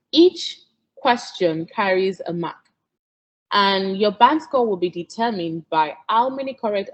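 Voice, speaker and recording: A Nigerian woman whose first language is Yoruba, giving an earnest exam-prep lesson as a tutor, coaxing listeners and checking in with "okay?". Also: mid-range voice. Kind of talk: instructional talk.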